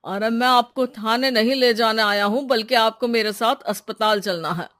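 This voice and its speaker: A woman voicing a calm, official policeman in a story, measured and reassuring. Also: a little high, no echo, studio quality, voice pushed down, lightly acted. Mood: calm, grave. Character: calm, official policeman bearing bad news.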